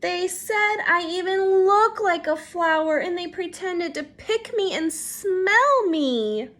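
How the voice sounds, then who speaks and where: A woman voicing a sensitive, easily wounded little schoolgirl in a plaintive, drawn-out storybook voice. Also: very high-pitched, expressive, fairly bright tone, voice pushed up, heavily acted. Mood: miserable, wounded.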